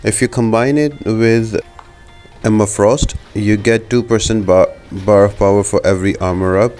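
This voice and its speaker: A man with a low-pitched voice talks through a video game guide in an informal, explanatory way. Music plays behind his casual commentary. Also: steady tone, normal speed, a little mellow.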